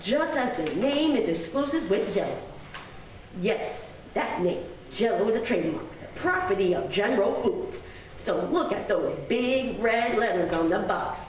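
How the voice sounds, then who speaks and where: A woman's voice: mid-range voice, lively intonation, a little slow. A woman announcer reading a scripted advertisement and narration in the style of old-time radio. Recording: very echoey room, distant mic, noisy.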